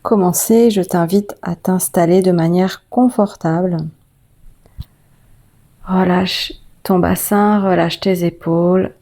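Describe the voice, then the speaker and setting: A woman guiding a yoga nidra meditation as the teacher, speaking in a gentle, soothing, unhurried way with long pauses. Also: mid-range voice. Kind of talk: guided meditation.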